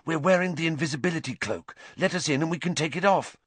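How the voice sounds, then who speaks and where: Storybook boy hero voiced by a man: urgent, sincere, animated pitch, dry close sound. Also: unhurried, no room sound. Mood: hissing, urgent. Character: earnest, urgent teenage schoolboy hero.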